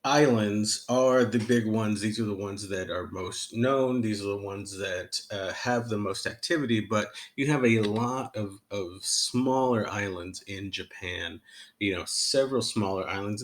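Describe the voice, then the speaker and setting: Halting low-voiced male history lecturer. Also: a little hiss or hum. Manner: halting, many fillers and restarts. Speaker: history professor. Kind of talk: unscripted lecture. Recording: recorded lecture.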